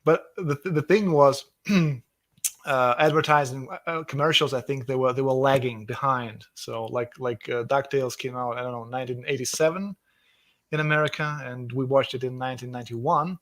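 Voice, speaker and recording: A man's voice: mid-range voice, steady tone, normal speed, a bit bright, no echo. A man from Russia with a Russian accent, speaking as an author guest in a casual video call interview, enthusiastic and often repeating words as he searches for phrasing.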